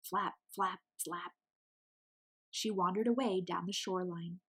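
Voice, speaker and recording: A woman reading a children's storybook aloud in an expressive narrating style. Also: fairly low pitch, unhurried, slightly rough.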